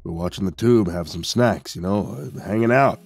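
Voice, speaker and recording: A man acts out a heavyset hitman from an audiobook, low and gruff. He mutters and growls in lazy slang, a casual sports junkie who can't be bothered to hurry. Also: normal speed, darkish tone, full character voice. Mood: nonchalant, needling. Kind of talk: audiobook character voice.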